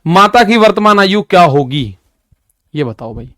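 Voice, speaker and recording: High-voiced young male maths teacher. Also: sing-song, a little slow, pushed and bright, no room sound, studio quality. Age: 20s. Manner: repetitive, addresses students directly with "ठीक है" and "भाई"; explains with everyday examples. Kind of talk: exam-prep maths lesson.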